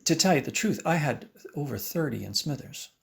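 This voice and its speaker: Animated, gravelly-voiced male violin teacher. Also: on the high side, a little fast. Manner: animated, acts out his own past remarks, frequent restarts. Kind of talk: documentary interview talk.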